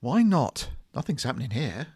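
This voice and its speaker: A man's voice: medium pitch, big pitch swings, normal speed, darkish tone, slightly rough, heavily acted. Man acting a playful shop worker, swooping delivery. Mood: bored, restless. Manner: playful, teasing. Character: chatty, teasing male shop worker, easily bored. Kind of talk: audio drama dialogue.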